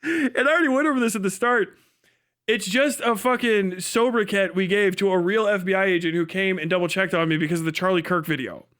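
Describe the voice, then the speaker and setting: A high-voiced man hosts a casual reaction stream. He talks in incredulous, mocking slang and swears heavily. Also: fairly quick, fairly bright tone. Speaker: livestream host reacting to a video. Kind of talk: casual profane reaction commentary.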